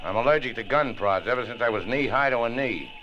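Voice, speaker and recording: A man's voice: medium pitch, fast, close to an everyday voice. Man acting a dry hardboiled hero, muffled radio sound. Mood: sardonic. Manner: dry, sardonic. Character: tough, unflappable, wisecracking boat-owner hero held at gunpoint. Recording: muffled old radio recording, faint noise.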